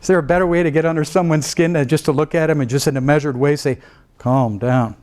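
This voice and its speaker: A middle-aged man, a trial lawyer, lecturing law students in an animated way, swinging between a low calm voice and sudden raised mimicry. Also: fast. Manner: animated, mimics other lawyers with rising pitch, then drops low to show restraint.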